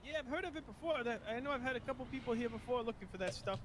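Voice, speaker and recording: A high, fast-talking working man played by a male gamer gives a hesitant, polite witness account in a roleplay game. He rambles nervously, with clearly noisy outdoor vehicle sound behind him. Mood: hesitant, uneasy. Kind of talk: improvised online game roleplay.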